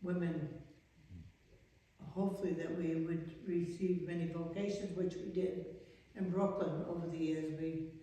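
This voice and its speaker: An elderly woman in her eighties with a Maine accent, a religious sister reminiscing in an interview in a halting, rambling way. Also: fairly low pitch, fairly even intonation, mellow. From Maine, United States. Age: 80s. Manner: halting, rambling recollection with trailing sentences. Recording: echoey reverberant room, distant mic.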